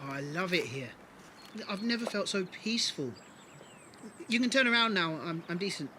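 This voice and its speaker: A woman's voice: fairly low pitch, animated, normal speed, pushed and bright, a little hiss or hum. A woman playing a friendly, shy young settler in an audio drama, eager and easily flustered. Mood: soft.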